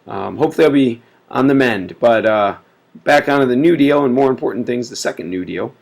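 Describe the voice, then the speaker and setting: A man's voice: mid-range voice, lively intonation, fairly quick, creaky. A man giving a casual recorded history lecture, full of filler words, sick and sniffly. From United States. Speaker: teacher.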